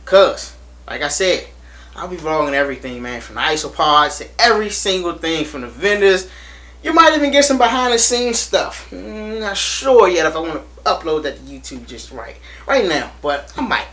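A young American man vlogging to camera in a small room, hyped and animated, repeating himself as he urges viewers to come. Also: on the high side, normal speed. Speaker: reptile channel vlogger. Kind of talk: casual to-camera promo vlog. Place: small indoor room against a plain wall.

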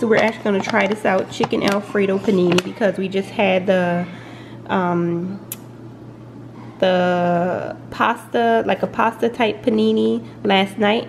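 A woman's voice: medium pitch, measured pace, creaky, background noise. A young woman, a college mom, chatting casually in her kitchen as she shows off her groceries, laughing now and then. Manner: chatty, relaxed, laughs often. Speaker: vlogger, college mom of three. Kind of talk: casual grocery haul vlog. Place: home kitchen, indoors.

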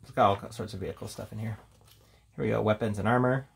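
A man speaks in a fairly low voice with a gravelly fry. He gives an offhand, unscripted review commentary, drifting between reading short headings aloud and casual remarks. Faint noise sits behind the voice. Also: steady tone, quick pace. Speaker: tabletop game reviewer.

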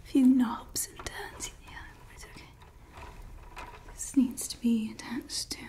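A young woman in an ASMR roleplay voicing a doting yet menacing evil scientist, speaking in soft, close, sweetly possessive whispers into a close mic. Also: fairly high pitch, fairly even intonation, takes it slowly. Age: young adult. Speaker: ASMR creator. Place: indoors. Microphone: close studio mic.

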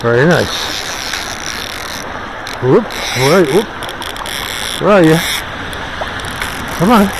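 Man, slow gravelly delivery, casual muttered self-talk, angler outdoors, noisy river recording. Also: a little high, lively intonation. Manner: muttering encouragement to himself, drawn-out exclamations. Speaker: angler filming his own fishing. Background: rushing river water.

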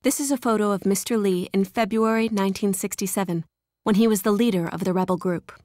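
A woman reading scripted documentary narration in an even, informative way. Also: mid-range voice, fast talker, dry recording, studio quality.

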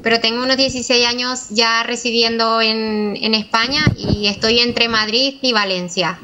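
A woman's voice: a little high, steady tone, moderate pace, background noise. A woman in her thirties with a Venezuelan accent, explaining things as an expert guest on a video call. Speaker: immigration lawyer, guest. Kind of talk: explanatory interview talk. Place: small office, indoors.

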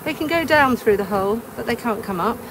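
A woman's voice: fairly high pitch, animated, fast talker. An older woman beekeeper outdoors in a garden, chatting as she explains each step of her work to the camera. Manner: delighted, exclaiming. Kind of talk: hands-on demonstration vlog. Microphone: camera close by, wearing a bee veil. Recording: outdoor noise with buzzing bees.

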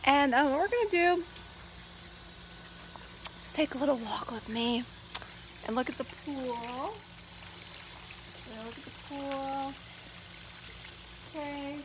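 A woman's voice: sits high, noisy background. A woman in her thirties rambling casually to her phone camera outdoors by a backyard pool, full of fillers. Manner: rambling and chatty, with many fillers. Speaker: vlogger. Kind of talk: casual vlog chat. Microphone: phone held at arm's length.

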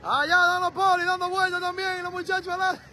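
A man with a Dominican accent giving excitable live street commentary, full of exclamations, recorded on a phone outdoors. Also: very high voice, fairly quick. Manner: excitable, frequent exclamations and laughter. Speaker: livestream street reporter. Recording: noisy street crowd livestream. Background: crowd and traffic noise.

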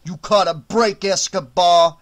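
A man's voice: high voice, fairly even intonation, unhurried. A man voicing a brutish, bullying hotel supervisor who snarls profane threats. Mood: menacing.

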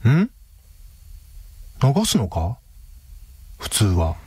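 An actor playing a blunt, literal-minded male agent with a deadpan, earnest manner in a comedic radio drama. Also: on the low side, drawn-out words, breathy edge, dry recording, full character voice. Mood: puzzled, blunt.

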